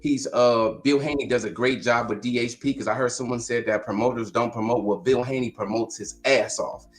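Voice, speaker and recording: A man from Long Beach, California, joins a live-stream debate as a caller. He pushes his argument insistently in quick bursts, in a mid-range voice. Faint music runs behind him. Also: mid-range voice, steady tone. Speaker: guest caller.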